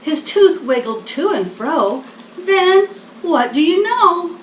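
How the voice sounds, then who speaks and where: High-voiced older woman reading slowly to children. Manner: deliberate, expressive, talking to small children. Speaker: storybook reader, host. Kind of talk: children's picture-book read-aloud. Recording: echoey room, distant mic, faint noise.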